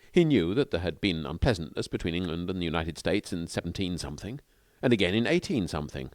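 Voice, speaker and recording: A man's voice: sits low, no room sound, clean studio sound. A man reading a comic novel aloud as scripted audiobook narration.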